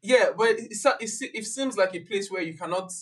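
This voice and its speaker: A woman hosting a casual chat, animated, laughing and restarting her sentences often. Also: medium pitch, brisk. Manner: animated, laughs, talks with many fillers and restarts. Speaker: podcast host.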